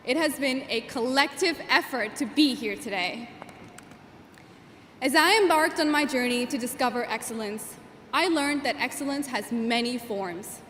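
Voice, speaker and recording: A young woman in her twenties whose first language is Gujarati, giving an earnest, measured commencement speech as class valedictorian at a podium microphone. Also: high-pitched, fairly bright tone, a little hiss or hum. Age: 20s. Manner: earnest, measured, with emphatic phrasing. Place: large commencement hall. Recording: echoey hall with long reverb.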